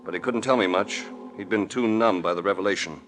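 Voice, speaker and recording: An actor playing a wry, tough private investigator in a 1940s radio mystery. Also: male voice, on the low side, a little fast, fairly bright tone, close to an everyday voice. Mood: hard-boiled narrating. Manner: wry, hard-boiled. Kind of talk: 1940s radio acting, first-person detective narration. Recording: noisy vintage radio drama recording.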